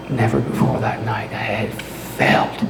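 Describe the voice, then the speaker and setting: A man playing a mad, obsessive, gloating murderer in a theatrical story reading, with dramatic pauses and hushed whispers that swell into manic cries. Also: fairly low pitch, exaggerated voice. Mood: sly, measured. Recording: roomy echo, clear background noise.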